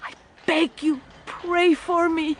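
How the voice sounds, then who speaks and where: Anguished old penitent, high and slow. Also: female voice, level tone, a little hiss or hum. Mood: desperate pleading. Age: older. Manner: gasping, pleading, fervent. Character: anguished guilt-ridden old Irish woman penitent begging for prayer. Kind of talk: radio drama acting.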